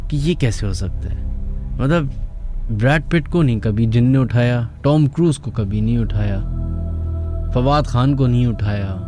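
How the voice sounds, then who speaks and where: A man's voice: mid-range voice, warm tone. A man with a Pakistani accent hosting a radio show, telling stories conversationally and musing aloud with rhetorical questions. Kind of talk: conversational late-night radio storytelling.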